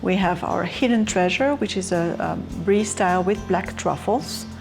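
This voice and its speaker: A woman with a Belgian French accent talks through her cheesemaking in a slightly low voice. She pauses often and fills the gaps with "uh." Music plays clearly behind her. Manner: halting, many fillers and restarts. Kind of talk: casual explanation during a tour.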